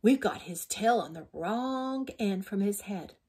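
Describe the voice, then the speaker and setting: A small, eager skeleton chirps its ideas in a raised, bright voice, as a woman reading aloud puts it on. The sound is husky and breathy. It is an upbeat storybook character. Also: mid-range voice, lively intonation, a little slow.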